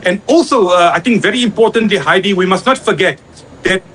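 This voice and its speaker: Man, very high pitch, live news reporting, halting delivery, faint background noise. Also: fairly bright tone.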